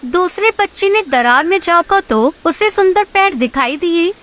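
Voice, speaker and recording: A woman's voice: very high-pitched, lively intonation, measured pace, a bit bright. A woman narrating a scripted story for children.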